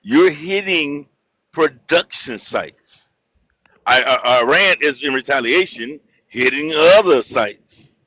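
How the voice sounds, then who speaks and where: A man's voice: a little high, slow pace, dry recording. Muffled, dry-sounding man building to a rant. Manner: builds from calm to loud indignant rants. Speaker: caller. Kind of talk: heated casual debate. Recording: muffled dull audio.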